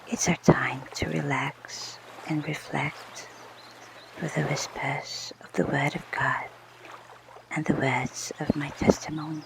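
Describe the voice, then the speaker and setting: Slow, whispering woman in ASMR prayer. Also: deep voice, flat delivery. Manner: hushed, breathy whispering, unhurried and deliberate. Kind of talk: whispered ASMR guided prayer and scripture reading. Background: ocean water ambience.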